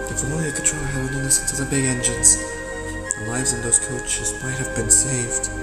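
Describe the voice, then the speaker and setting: A male narrator tells a dark children's tale in a mid-pitched voice, pausing deliberately for drama. His voice rings with long reverb, and music plays loudly behind him. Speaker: storyteller, narrator. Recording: echoey, reverberant hall sound. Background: loud music.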